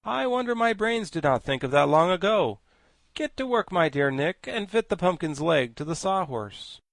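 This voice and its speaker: A man's voice: sits high, very animated, moderate pace, creaky, no room sound, pitched above the performer's own voice, full character voice. A man voicing a genial, slightly vain male scarecrow. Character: proud straw-stuffed male scarecrow.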